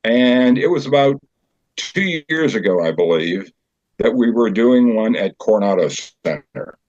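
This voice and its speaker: An older man being interviewed as a guest over a remote call, explaining things in a measured, halting way. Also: on the low side, expressive, a little mellow, dry recording. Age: older, 70s or so. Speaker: guest from a community theater group. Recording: dull, band-limited call audio.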